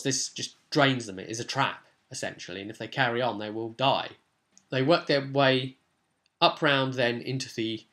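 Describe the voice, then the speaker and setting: A man with a mid-pitched voice talks informally as a game master recapping a tabletop session. His delivery is conversational and rambling, with sudden stresses on certain words. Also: fairly bright tone. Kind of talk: informal vlog recap and advice on a tabletop role-playing session.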